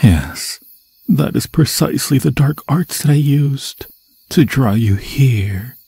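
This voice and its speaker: Husky, whispery undead forest demon. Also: male voice, medium pitch, mellow, dry recording, exaggerated voice. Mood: gloating, intimate. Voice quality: husky, breathy, often dropping to a whisper. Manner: slow, theatrical, intimate, crooning endearments in archaic formal phrasing. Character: obsessed undead forest demon sorcerer, seductive, possessive, menacing. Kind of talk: ASMR fantasy roleplay.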